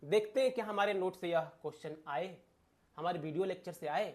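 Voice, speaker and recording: High-pitched Indian man teaching emphatically. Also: brisk. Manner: emphatic, with rhetorical questions and repeated phrases. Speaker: exam-prep teacher and channel host. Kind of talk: informal exam lecture to camera, addressing viewers as friends.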